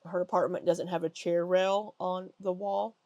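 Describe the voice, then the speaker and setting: A woman's voice: lowish voice, level tone, moderate pace, darkish tone, dry recording. A woman with a stuffy nose giving chatty, critical reaction commentary over video clips, with lots of ums. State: congested. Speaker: commentary YouTuber.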